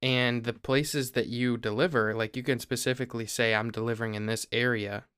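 Smooth-voiced young man from Ohio. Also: mid-range voice, brisk, dry recording, studio quality. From Ohio, USA. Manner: relaxed, explains step by step with small restarts. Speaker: podcast host. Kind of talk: casual explanatory chat.

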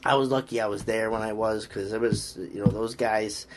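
Casting: Boston-accented man, lowish voice, easygoing strength coach on a podcast, faint hum behind. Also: fairly even intonation, moderate pace. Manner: rambling, frequent fillers and restarts, upbeat about lifting. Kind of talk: casual podcast chat.

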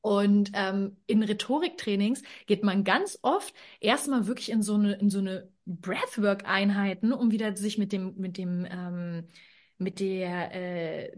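A woman speaking as a podcast guest in a casual conversation, explaining thoughtfully with many fillers and restarts. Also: medium pitch.

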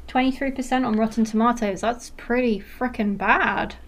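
A woman's voice: a little high, fairly even intonation, moderate pace. A young woman with a faint Mancunian accent, co-hosting a casual film podcast and rambling with lots of fillers. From Manchester, England. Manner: chatty, rambling, with frequent fillers and laughs. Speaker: podcast co-host.

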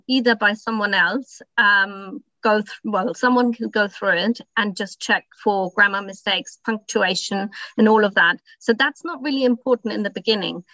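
A woman's voice: mid-range voice, bright, forceful tone, no room sound, highs cut off. A woman whose first language is German, speaking English as a writing coach on a podcast, giving encouraging, practical advice with frequent ums. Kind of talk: casual podcast chat.

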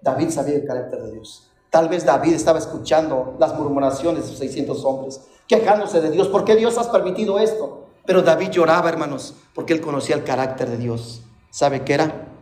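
Male preacher, middle-aged, high voice, fervent, echoey church hall. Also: fairly quick, a little mellow. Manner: fervent, repeats "hermanos" often. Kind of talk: sermon. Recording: echoey hall, voice drifting from mic.

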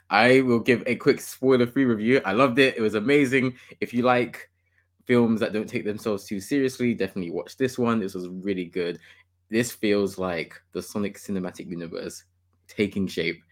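Male podcast host, lowish voice, chatty and opinionated, laughs a lot. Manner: enthusiastic, opinionated, laughs often. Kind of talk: casual gaming podcast chat.

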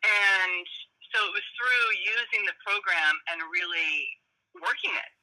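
A naturally loud woman speaks in a casual interview over a remote call, her voice very bright and forceful. She talks in an animated, open way, with many restarts as she thinks aloud. She sounds like a grown mother in midlife. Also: mid-range voice, unhurried, poor audio. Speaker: parenting program guest.

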